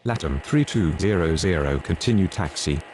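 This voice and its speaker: A man's voice: deep voice, expressive, moderate pace, a little mellow. A man making procedural air traffic radio calls in a game.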